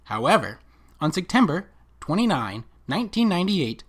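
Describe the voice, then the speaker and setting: A commentator delivering scripted video commentary and reading from documents, with rhetorical, emphatic and deliberate phrasing. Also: medium pitch, fairly bright tone. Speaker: commentator and narrator.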